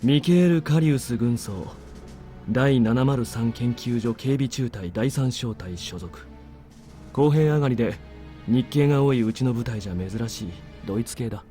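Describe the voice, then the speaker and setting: An actor playing a cool, commanding officer in a Japanese visual novel, curt, composed and dryly sardonic. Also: male voice, medium pitch, fast talker, close to an everyday voice. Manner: curt, composed, quietly menacing. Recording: game music under the voice.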